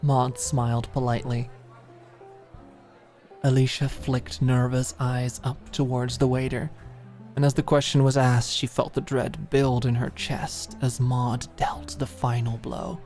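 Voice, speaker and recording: Female narrator for intimate audio fiction: slow, measured, emotive, over a music bed. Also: extremely low pitch, level tone, dark tone. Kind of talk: scripted dramatic narration, intimate ASMR audiobook.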